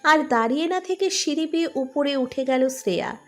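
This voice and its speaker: A woman's voice: high-pitched, animated, fairly quick, fairly bright tone. A woman reading a scripted romantic story as narrator.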